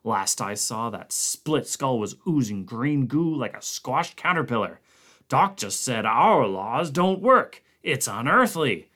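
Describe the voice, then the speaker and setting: A man putting on a gruff, swearing expedition man. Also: medium pitch, big pitch swings, slow, bright, forceful tone, heavily acted. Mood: irritated. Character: angry frightened male expedition member.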